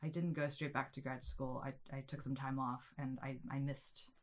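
Very low-voiced woman guest, rambling. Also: flat delivery, moderate pace. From South Africa. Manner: rambling, many restarts and ums. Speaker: guest, media studies teacher. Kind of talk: conversational interview answers.

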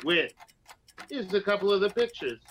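Man acting a sneering wise-guy racketeer, slippery, bright forceful voice, animated pitch, old-time radio crime style, noisy background. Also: moderate pace. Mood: smug. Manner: mocking wise guy, slangy. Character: smug, sneering, slippery male nightclub racketeer.

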